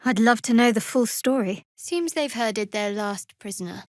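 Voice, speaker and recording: Woman acting a guarded, high-voiced, sardonic young cleric. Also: no room sound, lightly acted. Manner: dry, measured, wry. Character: guarded, sardonic female cleric of a dark goddess. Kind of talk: fantasy video game voice acting.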